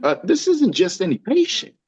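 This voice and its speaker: A man playing a persuasive, money-minded clinic boss, pressing his case a little haltingly at a drama table read. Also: extremely high pitch, sing-song, creaky, slightly rough, no room sound, full character voice. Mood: smoothly pushy. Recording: close, dry, slightly dull audio.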